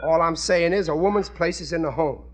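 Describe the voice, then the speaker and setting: An actor playing a blustering, bumbling working-class husband in a 1940s radio sitcom, stammering and using folksy grammar. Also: male voice, a little high, a little fast, over a noisy crowd, lightly acted. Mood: defensive bluster. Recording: noisy vintage radio recording.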